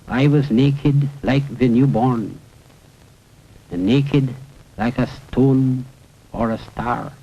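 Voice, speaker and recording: Man, poetry recitation, mid-pitched, solemn and dramatic, measured pauses, muffled old film soundtrack. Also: mellow. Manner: measured, with deliberate pauses between short phrases. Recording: dull, lo-fi 1970s film soundtrack. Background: prominent music.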